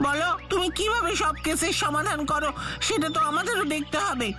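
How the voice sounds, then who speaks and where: A woman voicing an eager, excitable little boy sidekick in a cartoon, childlike and inquisitive. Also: very high-pitched, a little fast, over music. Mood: eager, curious. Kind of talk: cartoon voice acting in Bengali.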